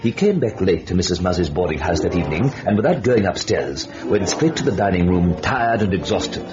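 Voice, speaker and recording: Low, ominous sardonic storyteller. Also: male voice, sing-song, a little fast. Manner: theatrical, taunting, ominous. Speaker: narrator. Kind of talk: old radio suspense narration. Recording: dull old radio recording, loud music.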